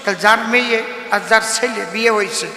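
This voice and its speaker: A man's voice: high voice, fairly even intonation, fairly quick, vocal fry, bright, forceful tone. A middle-aged man with a telling a humorous folk story to an audience in a teasing, mock-bashful way, addressing listeners directly. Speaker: comic storyteller performing live. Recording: echoey reverberant stage sound with faint noise.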